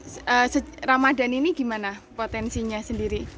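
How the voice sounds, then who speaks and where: A woman reporter interviews stall vendors in a market basement. She prompts often with short hums. Her voice is slightly high over faint background noise. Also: fairly even intonation, moderate pace, fairly bright tone. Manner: prompting, frequent hums of assent. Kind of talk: news field interview.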